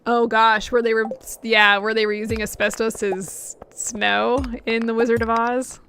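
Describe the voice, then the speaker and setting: A young adult woman streaming a game, chatting casually with lots of fillers, her voice getting hoarse after hours of talking. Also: fairly high pitch, a bit bright, slight background noise. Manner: chatty, rambling, many fillers and laughs. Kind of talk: casual chat while gaming.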